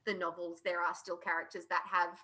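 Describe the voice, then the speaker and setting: A woman's voice: on the low side, little pitch movement, fairly quick, pushed and bright. A woman co-hosting a podcast, talking casually in a panel discussion. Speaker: podcast co-host.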